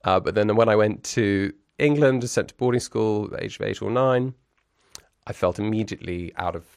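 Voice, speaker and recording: A man in his forties with a lowish voice, musing aloud as a guest in a conversational interview. He circles his thoughts, stumbling through restarts and little fillers, yet lands on articulate, wry phrasing. The sound is close and dry, with no room echo. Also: a little fast, vocal fry, a little mellow. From grew up in Brussels.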